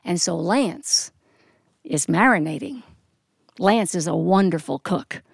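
Expressive woman storyteller, close dry sound. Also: fairly low pitch, gravelly, slightly rough, dry recording. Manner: expressive, deliberate descriptive delivery with dramatic pauses. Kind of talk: polished live storytelling to an audience.

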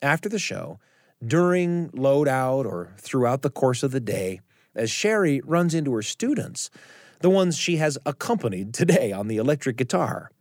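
Expressive male storyteller, dry close sound. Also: mid-range voice, normal speed, dry recording. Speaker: host narrator. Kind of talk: scripted storytelling narration.

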